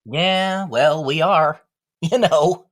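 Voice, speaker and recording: An actor playing a meek, aging ventriloquist dummy in a comic radio play, resigned and agreeable, echoing in deadpan. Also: male voice, a little high, drawn-out words.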